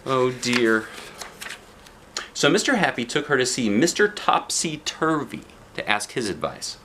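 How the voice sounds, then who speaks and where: Male storybook narrator: fatherly read-aloud, unhurried, animated, expressive for small children. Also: medium pitch, a little hiss or hum. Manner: expressive, addresses young listeners. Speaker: dad reading a children's book aloud.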